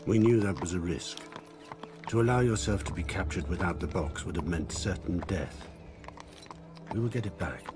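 An actor playing an older assassin master in a video game, a calm, patient mentor who speaks gravely and deliberately. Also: male voice, low voice, music in the background. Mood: reassuring. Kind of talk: dramatic game cutscene dialogue.

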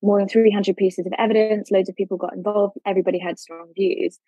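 A woman's voice: medium pitch, flat delivery, fast talker, mellow, slightly rough, dry recording, low-quality recording. A woman, a legal academic, explaining policy with everyday analogies and frequent fillers in a podcast discussion.

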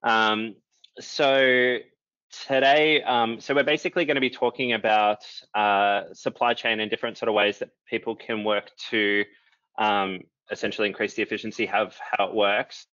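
A young man moderating a panel on a video call, relaxed and filling pauses with ums. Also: on the low side, dry recording. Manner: relaxed, many "um" fillers. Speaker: moderator, company chief executive. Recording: dull lo-fi video call audio.

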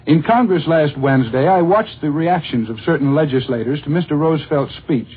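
An American male radio correspondent delivers a 1940s news report. His voice sits a little high and is very rough and husky. It comes through a thin, scratchy vintage broadcast. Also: a little fast. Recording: scratchy narrow-band 1940s radio recording.

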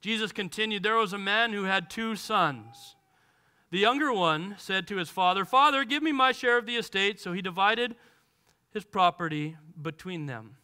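A man in his thirties preaching a sermon on a large church stage, animated and acting out the voices of people in the text, heard through a headset mic. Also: high-pitched, moderate pace, smooth. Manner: animated, gestures widely and acts out voices from the text. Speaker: pastor.

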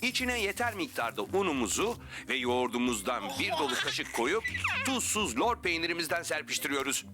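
A man's voice: fairly high pitch, sing-song, a little fast, over music. A man voicing a cheerful, loving father in a children's cartoon, jovial and playful in manner. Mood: jovial, busy. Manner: jovial, affectionate, singsong.

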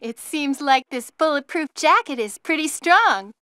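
An actress playing an eager, dutiful young rookie officer in a video game, perky with stilted delivery. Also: female voice, high-pitched, animated, measured pace, bright, forceful tone, no echo, clean studio sound, heavily acted. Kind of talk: video game cutscene acting.

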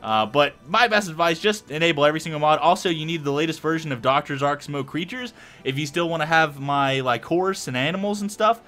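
A young man casually narrating his Minecraft play, rambling and thinking aloud with lots of fillers, his voice a little hoarse. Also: fairly high pitch, expressive, a little fast, sharp tone. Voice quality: hoarse-sounding. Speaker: Minecraft let's play host. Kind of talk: casual gameplay commentary.

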